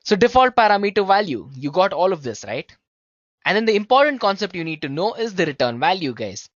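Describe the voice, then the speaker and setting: Programming tutor, animated pitch, conversational step-by-step explaining, slightly muffled recording. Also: brisk, dry recording. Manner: conversational, addresses learners as guys, frequent tag questions. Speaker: programming instructor.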